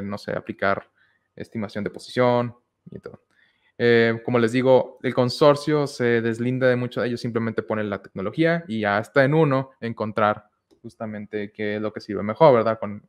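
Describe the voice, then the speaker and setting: A young man giving a casual technical talk at an online meetup, pausing often with fillers and restarts, recorded on a headset mic in a small room. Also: lowish voice, smooth. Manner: hesitant, many fillers and restarts. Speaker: meetup speaker. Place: small room with whiteboard, indoors. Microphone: headset with boom mic.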